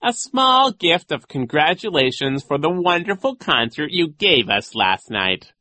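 A man voicing an insincere, flattering villain who poses as a gym coach in a storybook. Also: fairly high pitch, unhurried, dry recording, pitched above the performer's own voice, exaggerated voice. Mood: oily flattery.

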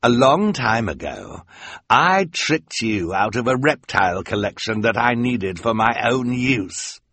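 A man's voice: mid-range voice, sing-song, unhurried, fairly bright tone, breathy edge, no room sound, pitched above the performer's own voice, exaggerated voice. A man doing a gloating storybook villain. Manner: smug, gloating.

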